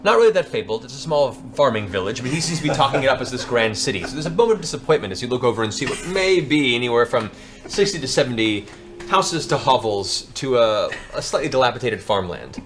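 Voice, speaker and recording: A man narrating a tabletop fantasy game as game master, improvising theatrical descriptions with deliberate pauses. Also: medium pitch, animated. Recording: music bed behind the voice.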